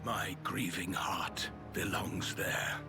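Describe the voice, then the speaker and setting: A man's voice: low-pitched, fairly even intonation, slow, over music. A man voicing a weary, grieving middle-aged guard veteran in a video game, blunt and resigned. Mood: sorrowful.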